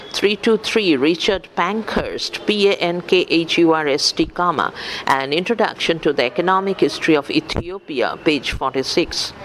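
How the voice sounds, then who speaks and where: A man's voice: fairly high pitch, a bit bright, slight background noise. A man reading an academic book aloud methodically, spelling out references and speaking the punctuation. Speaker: audiobook reader for visually handicapped listeners.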